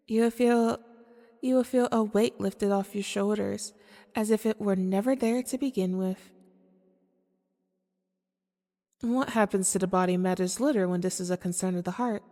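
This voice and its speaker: A woman playing a manipulative, seductive female demon in an ASMR audio roleplay, speaking formally and deliberately in a coaxing way. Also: mid-range voice, dark tone, lightly acted. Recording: long cavernous reverb.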